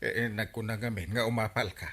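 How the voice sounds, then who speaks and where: A man playing an exasperated, tight-fisted village husband, excitable and full of exclamations, in an Ilocano comedy radio drama. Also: fairly low pitch, fast talker. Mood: defensive.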